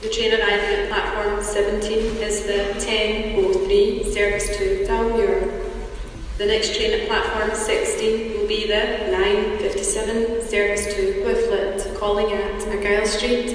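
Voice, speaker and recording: A woman giving formal scripted train announcements over a station public-address system, reading out items as a list. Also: mid-range voice. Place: large echoing railway station. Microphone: distant recording of public-address speakers. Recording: echoing station tannoy from far off. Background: faint station ambience noise.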